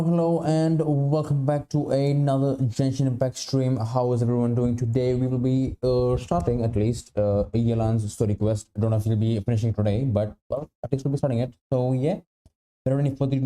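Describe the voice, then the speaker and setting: A man's voice: medium pitch, fairly even intonation, a little fast, warm tone. A man hosting a live game stream, chatting casually. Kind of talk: casual gaming stream chat.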